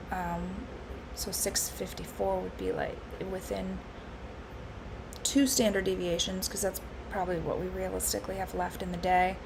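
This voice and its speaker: A woman live-streaming her futures trading, congested and hoarse from allergies, thinking aloud with lots of fillers and restarts. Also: lowish voice, background noise. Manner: musing, with frequent fillers and restarts.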